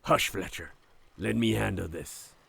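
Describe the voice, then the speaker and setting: A man in an audio drama playing a gruff, commanding sea captain with a raspy, measured delivery. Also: low voice, bright, forceful tone, no echo, heavily acted. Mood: gruff, guarded. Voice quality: raspy, gravelly. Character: gruff, wary old-fashioned sea captain of a lumber ship. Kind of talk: cinematic audio roleplay acting.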